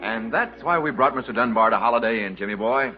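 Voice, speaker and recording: An actor playing a brash, pushy show-business pal in a 1950s radio drama. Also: male voice, medium pitch, fast talker, natural voice. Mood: brash, goading. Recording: dull 1950s radio recording, music behind.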